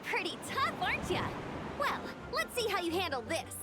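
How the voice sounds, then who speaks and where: A woman's voice: very high-pitched, very animated, moderate pace. A woman playing a plucky, cheerful, athletic young scout in an anime game, with a bold, spirited delivery. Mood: playful, confident. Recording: voice under loud game music.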